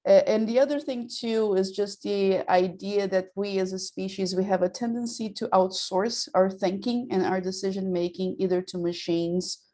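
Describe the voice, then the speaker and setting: Female audience questioner, mid-pitched, very soft-toned timbre, informal and tentative. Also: steady tone, normal speed, few pauses. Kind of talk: casual conversational question.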